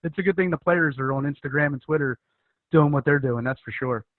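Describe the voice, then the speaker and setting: Male podcast host, high-pitched, loose and jokey; tinny dry phone-quality line. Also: a little fast, no echo. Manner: loose, joking, filler-heavy. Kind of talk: casual chat.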